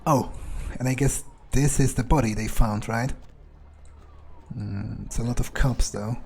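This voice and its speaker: A man's voice: mid-range voice, a little slow, gravelly, darkish tone, slight background noise. A man improvising in-character video game commentary, thinking aloud in a musing, hesitant way and swearing freely. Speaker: let's player role-playing in character.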